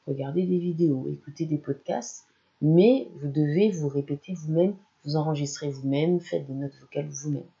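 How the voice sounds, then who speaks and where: Gravelly, mellow French-speaking teacher. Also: mid-range voice, normal speed, dull audio. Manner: explanatory, addresses listener directly. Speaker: language channel presenter. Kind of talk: educational talk to camera.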